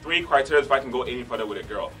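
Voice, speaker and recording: A man jokes his way through a radio talk show, boasting and laughing at his own punchlines. His voice is bright and forceful. He sits a bit back from the mic, with faint music under him. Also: mid-range voice, animated, racing. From Africa. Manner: joking, boastful storyteller who laughs at his own lines. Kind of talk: casual talk radio banter. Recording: slightly distant mic, faint music behind.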